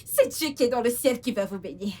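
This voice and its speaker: An actress playing a humble, earnest young household employee and devoted daughter in a melodramatic film. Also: female voice, a little high, animated, fast talker, mellow. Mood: warm, respectful. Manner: earnest, effusively grateful. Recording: music under the voice.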